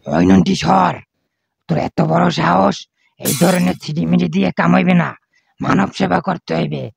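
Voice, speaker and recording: Gravelly, brash cartoon candidate played by a man. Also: high voice, steady tone, moderate pace, no room sound, exaggerated voice. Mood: scolding. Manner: boastful, scolding, colloquial dialect. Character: Boltu, brash bickering village election candidate, male rival. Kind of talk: comedy cartoon dubbing.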